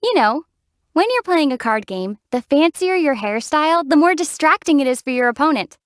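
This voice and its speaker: An actress voicing a calm, wry young female traveler hero in a video game, giving dry teasing explanations and sharp battle shouts. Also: high-pitched, normal speed, no room sound. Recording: dry close studio voice.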